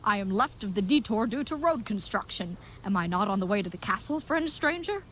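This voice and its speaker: A woman's voice: mid-range voice, animated, a little fast, a bit bright, a little hiss or hum, lightly acted. A woman playing an earnest, good-hearted but dim-witted knightly leathersmith in a comedic audio drama, speaking in florid, mock-archaic phrases. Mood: defiant, polite.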